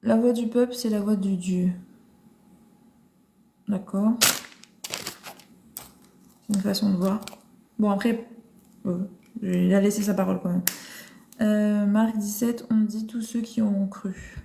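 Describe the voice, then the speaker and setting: Woman host, live-stream Bible chat, mellow tone, halting and searching, echoey room. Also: medium pitch, steady tone, vocal fry, a little hiss or hum. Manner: thinks aloud with many restarts and fillers. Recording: roomy echo.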